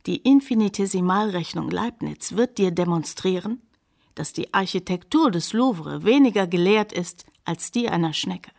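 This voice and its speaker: An actress playing a reflective grown daughter who narrates her father's life in a German radio play with measured storytelling. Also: lowish voice, creaky, darkish tone, full character voice.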